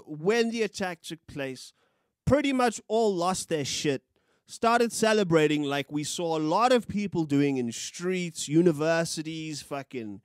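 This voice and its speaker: Lively, high-voiced South African man. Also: no room sound. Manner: animated, profane, gesturing with his hands. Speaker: podcast co-host. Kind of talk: opinionated news commentary. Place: small studio room. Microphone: studio mic on a boom, with headphones.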